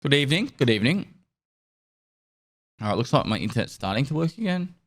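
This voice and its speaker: A man with a stuffy nose from a cold, chatting casually on a live stream and thanking subscribers in a rambling, relaxed way. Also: medium pitch, a little fast.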